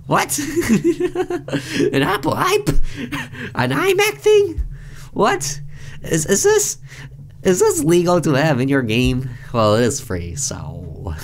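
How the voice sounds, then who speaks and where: Low-voiced man doing casual let's-play. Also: a little hiss or hum. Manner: informal, reacts aloud to what is on screen. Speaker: gaming video host reading a game's text aloud. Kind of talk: casual let's-play commentary with read-aloud narration.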